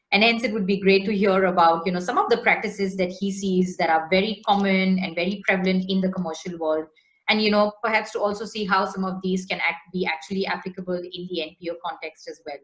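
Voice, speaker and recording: A woman's voice: mid-range voice, steady tone. Woman moderator introducing a speaker, echoey room, kinda dull lo-fi call audio. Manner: scripted, measured introduction. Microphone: video call.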